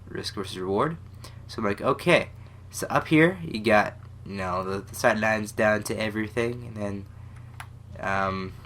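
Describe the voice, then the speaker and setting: A man's voice: fairly low pitch, bright, forceful tone. Stuffy-nosed young man rambling over gameplay. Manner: rambling, self-deprecating, many fillers and restarts. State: stuffy, congested. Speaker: gaming channel host. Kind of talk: casual gameplay commentary.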